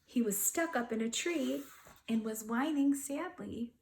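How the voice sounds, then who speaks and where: A woman's voice: a little high, measured pace, gravelly, reverberant, slight background noise, poor audio. A woman voicing a fond, imaginative little girl who tells her own storybook tale expressively. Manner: expressive, dramatic storybook telling.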